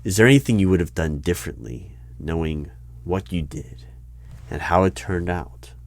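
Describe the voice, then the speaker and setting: A man doing an ASMR interview roleplay, asking questions in a deadpan, clipped manner. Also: low voice, moderate pace, vocal fry, no room sound. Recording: keyboard typing heard throughout. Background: keyboard typing and clicks.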